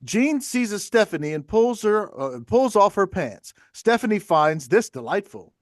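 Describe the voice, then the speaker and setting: Higher-voiced man reading stage directions. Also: very animated, measured pace, no room sound, studio quality. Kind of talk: scripted narration at a table read.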